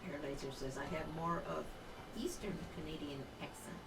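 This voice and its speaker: A woman's voice: deep voice, moderate pace, gravelly, recorded from a distance, heavy background noise. A woman co-hosting a live stream, reading viewer comments aloud in a cheerful, chatty way. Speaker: co-host reading chat.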